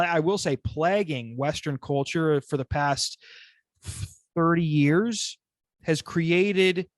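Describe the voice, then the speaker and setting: Man ranting about culture, higher voice. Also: no echo. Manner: rants with profanity, repeats himself. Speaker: podcast co-host. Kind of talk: casual podcast chat.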